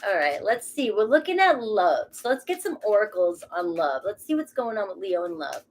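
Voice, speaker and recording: A woman's voice: medium pitch, big pitch swings, normal speed. Chatty Boston woman reading tarot. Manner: chatty, rambling, swears casually. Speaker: tarot reader. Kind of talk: casual tarot reading to camera.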